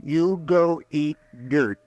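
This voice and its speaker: An actor playing a bitter, weary old frontier man in a radio western, rambling and halting in folksy speech. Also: fairly high pitch, a little mellow, muffled recording. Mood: bitter, agitated. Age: older.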